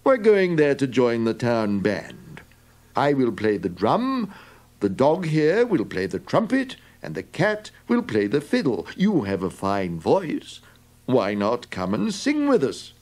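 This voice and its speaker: A man's voice: medium pitch, huge pitch swings, slow pace, a little mellow, exaggerated voice. A man voicing a friendly male donkey who coaxes the others along. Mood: coaxing. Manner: friendly, encouraging and coaxing. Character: kindly male donkey, leader of the group.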